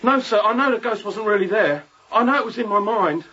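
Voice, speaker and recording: A repentant sailor in a radio play, with a high, husky voice. He rambles earnestly and humbly, like a shaken crewman pleading his case. The recording is a little dull. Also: fairly quick, bright, forceful tone, full character voice. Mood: awed, earnest. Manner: earnest rambling working-sailor speech, deferential. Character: contrite, frightened sailor pleading after a drunken night. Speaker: ship's crewman. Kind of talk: radio drama acting.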